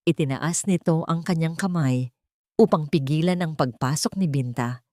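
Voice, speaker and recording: A woman narrates a scripted audio drama in a slightly low register. Her delivery is measured and solemn, like serious storytelling. The sound is dry, with very little room in it. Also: warm tone, no echo.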